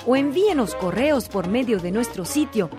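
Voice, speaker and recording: Female radio announcer, fairly high voice, salsa music bed. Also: lively intonation, moderate pace. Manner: crisp promotional reading. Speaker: station announcer. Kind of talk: station promo. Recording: station promo over salsa music.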